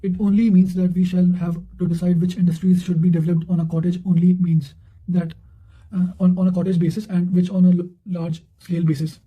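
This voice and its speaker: A man with a high voice reads quotations from revision notes. His tone is very soft-edged and dark, and his delivery stays measured and fairly flat, with an occasional stumble over a word. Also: lo-fi sound. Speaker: narrator of study revision notes. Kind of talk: reading quotations aloud from notes.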